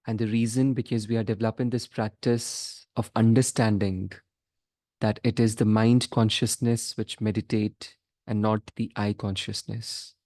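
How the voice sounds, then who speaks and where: A man leading a guided meditation as a teacher, giving measured instructions in short phrases with pauses between them. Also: on the low side, flat delivery, mellow, no room sound, clean studio sound.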